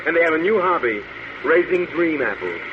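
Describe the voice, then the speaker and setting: A man's voice: a little high, big pitch swings, brisk. An actor playing a smug, crooked space policeman who taunts with mock friendliness in an old-time radio drama. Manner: taunting, mock-friendly, savors his words. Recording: muffled noisy old radio recording.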